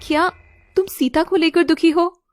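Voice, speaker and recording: A woman's voice: very high-pitched, steady tone, moderate pace, over music. A woman voicing a guarded, concerned young woman in an audio story, with a dramatic, questioning delivery. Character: guarded young woman confronting and comforting her childhood love. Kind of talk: Hindi audio story acting.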